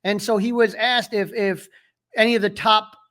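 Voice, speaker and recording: A man's voice: high-pitched, level tone, moderate pace, fairly bright tone. A man co-hosting a sports podcast, talking in a casual, conversational style. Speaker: podcast co-host.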